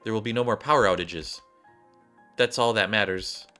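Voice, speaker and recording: A man's voice: lowish voice, moderate pace, pushed and bright, clear, resonant tone, faint music behind. A man voicing a withdrawn, defeated gamer girl from a video game, terse and resigned.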